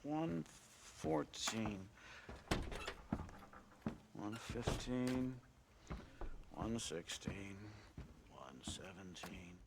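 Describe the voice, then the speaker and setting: An actor playing a bored, indifferent clerk in a video game, creaky and halting, in a monotonous manner. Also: male voice, fairly low pitch, very noisy. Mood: absorbed, indifferent. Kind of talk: video game cutscene acting.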